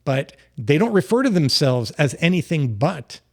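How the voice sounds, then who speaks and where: A man co-hosting a podcast, arguing politics in quick, interrupting bursts. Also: on the high side, very animated. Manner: interrupting, argumentative, fast bursts. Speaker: podcast co-host. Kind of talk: political debate chat.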